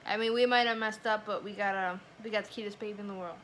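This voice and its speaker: A woman's voice: mid-range voice, steady tone, fast, fairly bright tone, slight background noise. A young woman telling a personal story in a casual vlog chat. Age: young adult. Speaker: vlogger, new mother.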